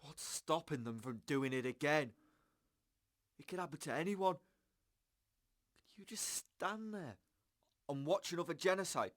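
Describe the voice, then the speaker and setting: A man's voice: on the high side, unhurried, long pauses, fairly bright tone, no room sound, studio quality. An actor playing an outraged, idealistic man in his forties in an audio drama, pleading and indignant. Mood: impassioned pleading. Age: 40s. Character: outraged, idealistic man of forty-three, a whistleblower.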